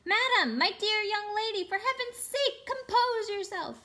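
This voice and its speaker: Storybook cowardly old gentleman, played by a woman: very high, trembling, flustered, self-pitying wail. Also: animated, measured pace, full character voice. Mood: panicked pleading. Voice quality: trembling, wailing voice. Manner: melodramatic, pleading.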